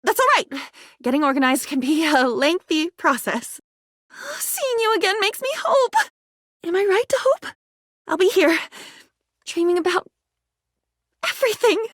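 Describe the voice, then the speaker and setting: High-voiced manic aristocratic living diary. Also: female voice, a little slow, long pauses, dry recording, studio quality, exaggerated voice. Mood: tender hope. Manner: theatrical, swinging between dignified and excitable. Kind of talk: video game character acting.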